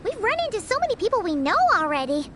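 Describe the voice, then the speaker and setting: An extremely high, childlike fairy companion, perky and blunt, with very animated delivery. She is a little floating game sidekick, with music playing behind her. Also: fast talker. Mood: cheerful. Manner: perky, refers to self in third person. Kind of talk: video game character dialogue.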